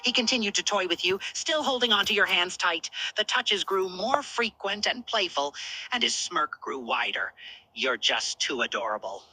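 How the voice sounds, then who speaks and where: A woman's voice: medium pitch, animated, normal speed, pushed and bright, low-quality recording. A woman narrating scripted romantic fan fiction.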